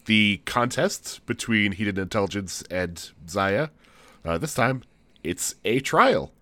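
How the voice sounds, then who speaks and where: A man's voice: on the low side, expressive, slow, sharp tone, dry recording. A man co-hosting a podcast in casual chat, congested from the flu and talking in rambling, self-interrupting, jokey bursts. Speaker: podcast co-host.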